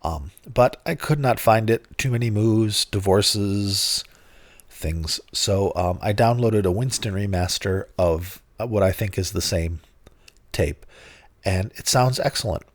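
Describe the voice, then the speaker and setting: A middle-aged man with a lowish voice gone hoarse from bronchitis, talking into a close mic in a dry, dead room. He rambles casually, grumbles and swears with self-mocking humor, like a tired host on a solo podcast. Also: slow pace, dry recording.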